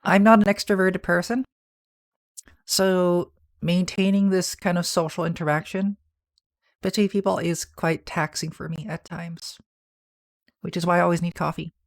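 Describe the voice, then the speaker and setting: A man with a soft, feminine-sounding voice, chatting casually on a livestream and answering viewer messages in a rambling, soft-spoken way. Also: high voice, steady tone, normal speed. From Canada. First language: English. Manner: soft-spoken, rambling, with restarts and small laughs. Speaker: streamer.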